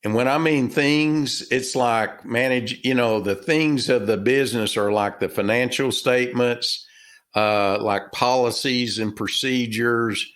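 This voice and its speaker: A slow, unhurried older man with a Texan drawl speaks at a middling pitch. He answers interview questions in a folksy, halting way and fills his pauses with plenty of "uh" and "um". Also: a bit bright. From Texas. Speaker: author and former business owner.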